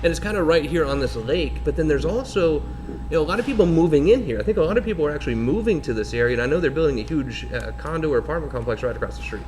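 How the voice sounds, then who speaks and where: A man's voice: fairly high pitch, lively intonation, racing, darkish tone, slight background noise. A man hosting a live talk radio show from a bar, chatting in a rambling, self-correcting way.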